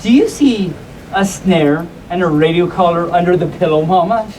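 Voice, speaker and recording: A woman voicing a suspicious storybook father bear in deliberate questions, picked up by a distant mic in a crowded room. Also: on the low side, animated, mellow, slight background noise, pitched below the performer's own voice, lightly acted. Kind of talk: storybook read-aloud.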